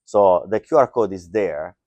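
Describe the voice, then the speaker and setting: A man's voice: sits low, expressive, a little slow, dark tone, dry recording. A middle-aged man giving a joking, loose tech talk to a live audience, with lots of fillers. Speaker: conference speaker. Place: lecture hall.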